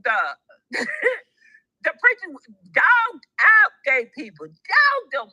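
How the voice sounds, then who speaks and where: Lively, outspoken woman in her sixties. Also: extremely high pitch, extremely slow, no room sound. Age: 60s. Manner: animated, outspoken, folksy church talk. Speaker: caller on a live audio show. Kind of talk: casual chat. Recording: dull, lo-fi app audio, dry.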